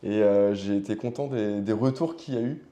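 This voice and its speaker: A man's voice: fairly low pitch, normal speed, dark tone. A man hosting a podcast interview in a casual, conversational style, feeling his way through informal, hesitant phrasing. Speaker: podcast host.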